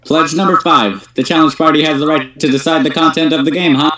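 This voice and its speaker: A man's voice: on the high side, brisk, sharp tone, a little hiss or hum. A man playing a cocky, teasing young gamer and big brother in an amateur anime fandub reading. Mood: smug, teasing. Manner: smug, flippant, stumbles while reading a script. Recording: roomy echoing home voice-chat stream.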